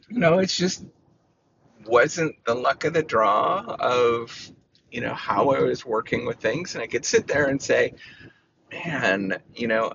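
Rambling man with a gravelly, squeaky voice. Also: a bit bright, lo-fi sound, a little hiss or hum. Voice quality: says he has a squeaky voice. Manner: rambling, self-reassuring, many "you know" fillers. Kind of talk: reflective personal monologue.

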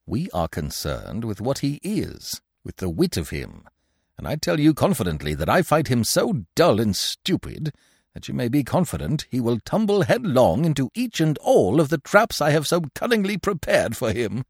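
Storybook rogue played by a man: scheming, smooth-talking, self-assured conspirator, animated delivery, dry sound. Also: medium pitch, moderate pace, no echo, heavily acted. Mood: scheming, confident. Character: sly, strutting, shabby male conspirator and schemer.

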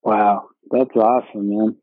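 Male host, low-pitched, slangy and easygoing, dry tinny call audio. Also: little pitch movement, a little slow, no echo. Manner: laid-back, slangy. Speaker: interview host. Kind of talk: casual interview conversation.

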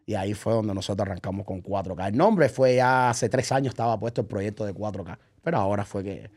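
A man's voice: lowish voice, fast talker, a little mellow. A man chatting casually as a podcast guest and event promoter, telling stories by acting out remembered dialogue and checking that the listener follows. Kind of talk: casual chat, animated storytelling.

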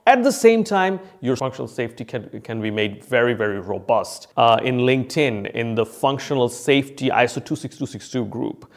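A man's voice: medium pitch, lively intonation, moderate pace, hardly any pauses. A man hosting a podcast, explaining like an expert trainer in a conversational, emphatic way and repeating key phrases for stress. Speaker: podcast host and functional safety consultant and trainer.

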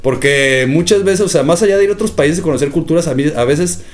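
Fast-talking slangy Mexican young man. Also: a little high. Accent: Mexican, from Guadalajara. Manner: rapid, slangy, swears a lot. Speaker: podcast co-host. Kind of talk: casual podcast chat.

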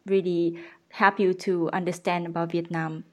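A woman's voice: lowish voice, little pitch movement, moderate pace, darkish tone. A woman interviewing a guest, asking questions in an encouraging way. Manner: encouraging, frequent backchannel murmurs.

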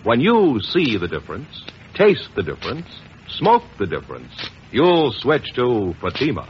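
A man delivering an emphatic 1950s radio sales pitch as a commercial announcer. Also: wildly swooping pitch, measured pace. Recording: muffled, hissy old radio recording.

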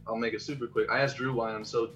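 An American man chatting casually on a podcast, telling personal stories in an animated, rambling, slangy way and swearing freely. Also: mid-range voice, quick pace, lo-fi sound, faint music behind. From Los Angeles area, United States. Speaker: podcast host.

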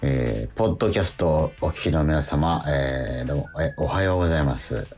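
A man hosting a casual podcast-style radio talk, halting and often correcting himself as he explains things. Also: extremely low pitch, expressive, a little mellow, soft background music. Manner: halting, self-correcting, trailing repetitions. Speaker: radio show host and actor. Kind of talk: casual radio-podcast chat introducing a show.

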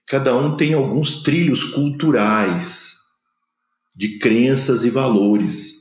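A man from Minas Gerais, Brazil, speaking with a Minas Gerais accent, gives a slow, measured spiritual lecture, pausing between short phrases. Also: medium pitch, roomy sound. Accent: Brazilian Portuguese, Minas Gerais. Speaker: spiritual teacher. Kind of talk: spoken lecture on scripture.

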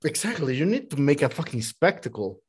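A man from Mexico City in casual podcast chat, excitable and quick to agree. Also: a little high, slightly rough.

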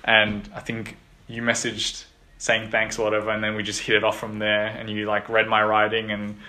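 A man's voice: lowish voice, completely flat delivery, normal speed, sharp tone. A man hosting a podcast, chatting loosely with a guest and leaning on filler words. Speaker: podcast host. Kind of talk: casual interview chat.

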